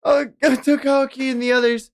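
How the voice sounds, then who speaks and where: Man putting on an excitable high-pitched ninja boy. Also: a little fast. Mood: excited. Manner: loud, exclaiming.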